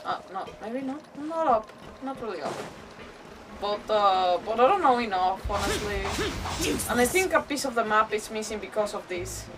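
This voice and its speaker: A woman's voice: mid-range voice, expressive, normal speed, distant mic, noisy background. A woman streaming a video game with casual, rambling, emotive commentary. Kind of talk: casual live gameplay commentary. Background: game music.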